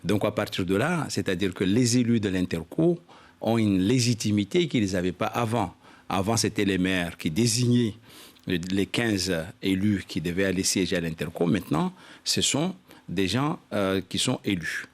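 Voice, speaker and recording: A man speaking as an elected local official in a formal political interview, explaining points haltingly with repeated filler phrases. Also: fairly low pitch, expressive.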